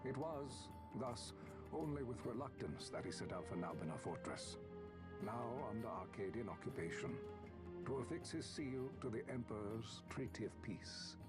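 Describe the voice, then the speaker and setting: A man as a grave, low-voiced royal chronicler. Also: lively intonation, lightly acted. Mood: solemn. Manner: measured, formal, literary. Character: grave older male chronicler of royal history. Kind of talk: solemn scripted cinematic narration. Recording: loud orchestral music behind.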